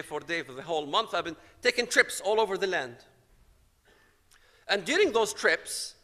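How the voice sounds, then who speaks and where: A man's voice: sits high, sharp tone, long reverb tail. A man from Palestine giving a personal testimony, telling his story dramatically and acting out the dialogue. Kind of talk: storytelling talk to an audience.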